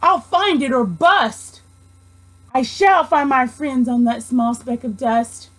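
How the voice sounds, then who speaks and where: A kind storybook elephant, acted by a woman, speaks in a high, slow voice. He is earnest and devoted, caring deeply for his tiny friends. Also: a bit bright, exaggerated voice. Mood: determined. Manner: earnest, heartfelt.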